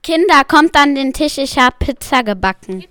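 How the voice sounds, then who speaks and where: A woman's voice: sits high, lively intonation, normal speed, a bit bright, faint music behind. A female performer playing an evasive mother in an amateur school radio play. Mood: caring. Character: evasive mother breaking divorce news to her children.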